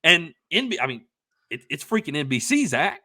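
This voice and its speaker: A young man co-hosting a podcast, telling a casual anecdote in a rambling, emphatic, excitable way. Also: a little high, normal speed, breathy edge, dry recording, clean studio sound. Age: young adult. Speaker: podcast co-host telling a story.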